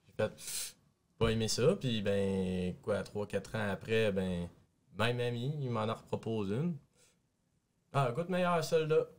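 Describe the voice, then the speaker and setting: Young male panelist, lowish voice, Quebec French accent, blunt street-level casual delivery. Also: measured pace. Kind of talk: casual chat. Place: small radio studio.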